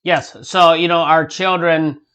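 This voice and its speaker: A man's voice: on the high side, flat delivery, measured pace, fairly bright tone, smooth. A man co-hosting a casual parenting chat, talking emphatically and often ending points with a question. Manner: emphatic, frequent tag questions. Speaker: co-host, father.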